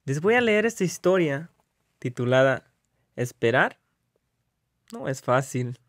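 A man's voice: mid-range voice, sing-song, a little slow, a bit bright, dry recording, clean studio sound. A man in his thirties speaking to children in a friendly way as a storybook reader, in a small indoor room. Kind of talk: friendly address to children, read-aloud.

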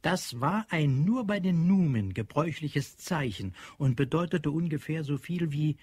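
A man's voice: a little high, lively intonation, measured pace, a little mellow, husky, no echo. A man narrating a science fiction radio drama in a sober, scripted storytelling manner. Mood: measured.

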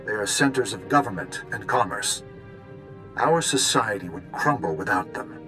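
A man's voice: lowish voice, expressive, moderate pace, music in the background, natural voice. Actor as a grave older statesman. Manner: formal, authoritative. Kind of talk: video game cutscene acting.

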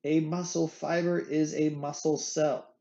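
A man's voice: on the high side, flat delivery, a little slow, creaky, mellow, dull audio. A man teaching anatomy over recorded slides, a playful, jokey explainer who leans on candy analogies, recorded on a computer mic at his desk. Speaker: anatomy instructor. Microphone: screen recording with webcam.

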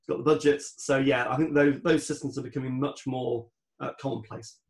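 Man with a creaky voice, explaining methodically. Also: medium pitch, steady tone, fairly quick. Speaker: guest expert on home renovation project management. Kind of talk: explanatory podcast talk.

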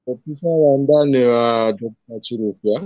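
A man with a Malawian accent calling in to a live show, slowly complaining in a local language. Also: medium pitch, darkish tone, soft background music. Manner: long slow rant in a local language. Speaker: caller. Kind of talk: phone-in complaint.

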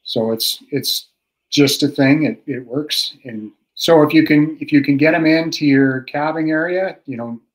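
A man's voice: a little high, measured pace, rough recording. A middle-aged man, a cattle expert, explaining calving in a casual, practical way over a video call from a room at home, with many fillers and restarts. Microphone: video call webcam.

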